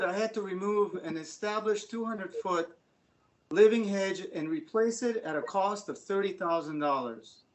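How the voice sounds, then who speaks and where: A middle-aged man gives an earnest, somewhat halting public comment at a council meeting. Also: high voice, rough recording. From Ukraine. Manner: earnest, a bit halting, with frequent "uh" fillers. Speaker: resident giving public comment.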